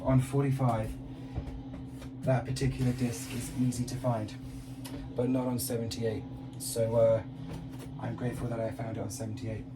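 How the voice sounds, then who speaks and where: Male record collector, casual unboxing commentary, medium pitch, heard at a distance from the mic. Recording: distant mic with clear background noise.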